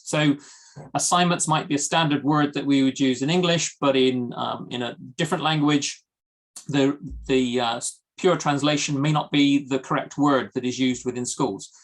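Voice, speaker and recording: British man presenting over a video call. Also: medium pitch. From United Kingdom. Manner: steady, with many ums and uhs. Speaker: guest presenter. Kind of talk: webinar product presentation.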